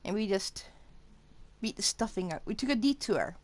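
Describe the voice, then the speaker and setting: A woman's voice: mid-range voice, animated, normal speed. A woman streaming a game, giving casual live commentary and often thinking aloud in questions to herself. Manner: talks to self, asks own questions. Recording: game music behind the voice. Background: game music.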